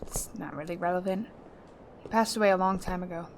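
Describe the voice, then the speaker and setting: A woman's voice: on the low side, a little fast, a little hiss or hum, close to an everyday voice. A woman acting as an injured, stern spaceship captain in an audio drama, guarded and suspicious in her delivery.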